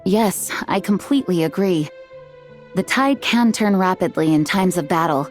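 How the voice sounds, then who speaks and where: A woman playing a dutiful, composed knight commander in a video game, speaking in a measured, formal, courteous way. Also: on the low side, expressive, natural voice. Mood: earnest, thoughtful. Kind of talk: video game dialogue and story narration. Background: music.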